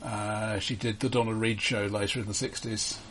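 A man co-hosting a casual film discussion, giving information in a halting, dryly humorous way. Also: on the low side, flat delivery, fairly bright tone, a little hiss or hum. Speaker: film podcast co-host.

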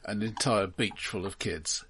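An English man co-hosting a casual film discussion, speaking haltingly and repeating words as he goes. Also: on the low side, fairly bright tone, slight background noise. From England. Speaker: podcast co-host.

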